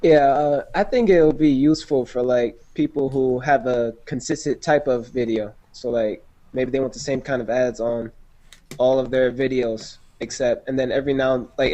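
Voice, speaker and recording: Tentative man, medium-pitched voice. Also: normal speed, dark tone. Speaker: panelist, new video creator. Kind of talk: casual chat.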